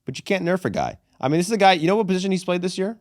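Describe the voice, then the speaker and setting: A young man hosting a casual sports podcast, animated and profane, calling people "brother" and "dude". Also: high-pitched, racing, no echo, clean studio sound. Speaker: podcast host.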